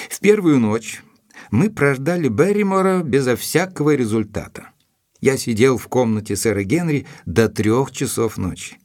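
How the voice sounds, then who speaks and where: An actor playing an earnest, mildly proud gentleman assistant to a detective, telling the story in a measured, literary way in an audio drama. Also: on the low side, no echo, clean studio sound, full character voice.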